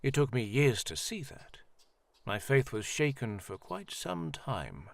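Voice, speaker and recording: Guy playing old high priest in fantasy audio drama, kindly but solemn, formal, very expressive pitch, dry studio sound. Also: vocal fry, dry recording. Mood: solemn, reflective. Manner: formal, measured, reassuring.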